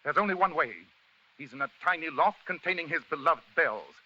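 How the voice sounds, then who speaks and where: An actor playing a shrewd, commanding English gentleman detective, crisp and theatrical, with a British accent in an old radio mystery. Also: on the high side, fairly quick. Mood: urgent. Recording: muffled old radio broadcast, music underneath.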